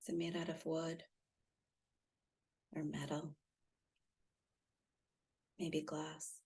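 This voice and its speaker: Female meditation guide, low and dark-toned, soothing, with spacious pauses. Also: monotone, breathy edge. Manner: soft, soothing, gently instructive with long pauses. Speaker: meditation guide, intuitive healer.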